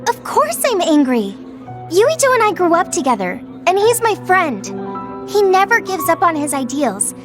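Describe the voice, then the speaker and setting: A woman voicing a spirited, fiercely loyal young woman in an anime-style game, speaking earnestly and with indignation. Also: very high voice. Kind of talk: anime game cutscene acting.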